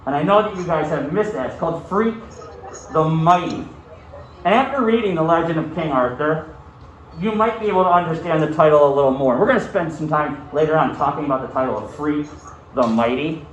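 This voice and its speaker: A man's voice: on the high side, fairly quick, muffled recording. A male school teacher giving a classroom lesson in an instructional way, often checking in with short questions. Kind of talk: classroom lesson with read-aloud. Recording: echoey room, far from mic, faint noise.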